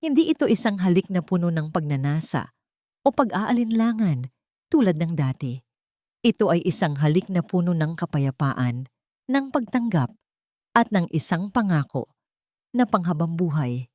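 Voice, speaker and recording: A woman's voice: lowish voice, animated, moderate pace, long pauses, darkish tone, no room sound, studio quality. A woman narrating a scripted dramatic story as a storyteller and host.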